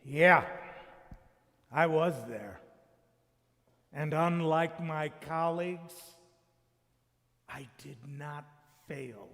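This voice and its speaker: A man playing Death as a cold, boastful victor in a church dramatic reading, declaiming theatrically with long pauses. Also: on the high side, vocal fry, a bit bright, heavily acted. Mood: cold, proud. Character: Death personified, gloating, cold, boastful victor over all men. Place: large church sanctuary during a service. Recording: echoey hall with long reverb.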